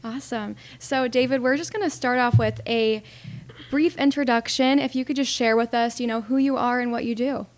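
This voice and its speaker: A woman's voice: fairly high pitch, steady tone, normal speed. Upbeat woman hosting a podcast. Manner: upbeat, short affirmations. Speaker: podcast host. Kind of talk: podcast interview.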